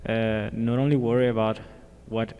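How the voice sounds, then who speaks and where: A man from Colombia speaking as a panelist at a conference, explaining his work plainly with some halting fillers. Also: lowish voice, flat delivery, smooth, lo-fi sound. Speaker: panelist, applied scientist. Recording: hall reverb with faint ambient noise.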